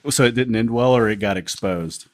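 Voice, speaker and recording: A man hosting a podcast in casual chat, joking with his guest. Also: on the low side. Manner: joking, quick quips. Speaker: host.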